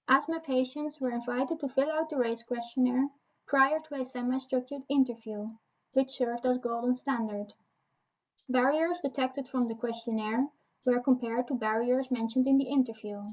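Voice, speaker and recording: A woman giving a formal research presentation in a steady reading voice. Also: high voice, muffled recording.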